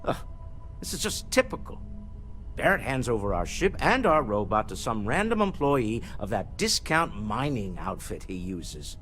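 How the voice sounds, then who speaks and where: Sardonic, animated sceptical businessman. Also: on the low side, moderate pace. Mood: exasperated. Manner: sardonic, haughty. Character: exasperated, sceptical wealthy businessman. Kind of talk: scripted video game acting. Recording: very loud music behind the voice.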